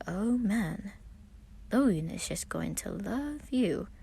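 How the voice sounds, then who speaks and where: A woman voicing an enormous, cheerful young man who is gentle, adorable and effusive in a story reading. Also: mid-range voice, expressive, slow, gravelly, husky, voice pushed up, exaggerated voice. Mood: delighted. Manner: hearty, effusive, apologetic.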